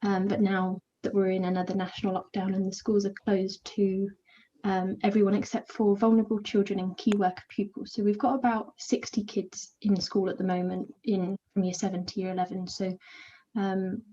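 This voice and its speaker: A young woman from the UK, a volunteer mentor answering interview questions in a quiet, introverted, hesitant way, with a soft, soothing voice and many fillers. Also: mid-range voice, little pitch movement, moderate pace, highs cut off, a little hiss or hum.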